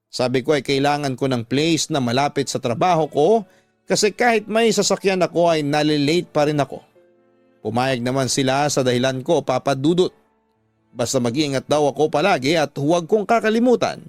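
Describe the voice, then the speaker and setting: A man's voice: a little high, dry recording. A man with a Filipino accent narrating a scripted first-person story in the style of a radio drama.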